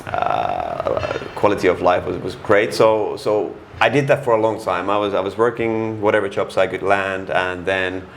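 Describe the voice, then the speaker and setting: A middle-aged man from Finland talks in a Finnish accent as a guest on an interview podcast. His voice sits very low and has a gravelly fry. He speaks frankly and haltingly, with many restarts. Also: expressive, a little hiss or hum. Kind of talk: casual interview.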